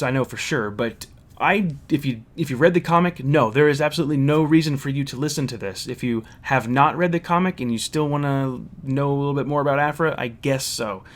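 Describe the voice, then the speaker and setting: A man giving a casual, opinionated review and story recap, speaking in a blunt, critical way. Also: medium pitch.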